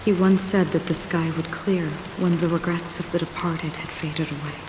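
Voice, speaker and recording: A woman voicing a composed, solemn young woman in a video game, speaking in a deliberate, softly grave manner. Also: fairly low pitch, steady tone, background noise, natural voice. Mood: calm, mournful. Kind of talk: video game voice acting, measured dramatic dialogue. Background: background music.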